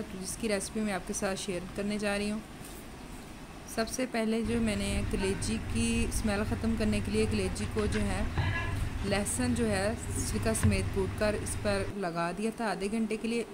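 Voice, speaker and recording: A woman, explaining each step chattily as she cooks at home. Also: medium pitch. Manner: chatty, explains steps while cooking. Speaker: home cook. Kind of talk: cooking tutorial narration. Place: home kitchen at a stove. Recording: noisy home recording.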